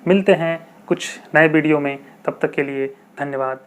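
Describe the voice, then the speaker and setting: A man explaining common English mistakes in a video lesson, slowly repeating example sentences and asking rhetorical questions. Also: on the high side, steady tone, clean tone. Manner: rhetorical questions, chatty teacherly asides. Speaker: English teacher.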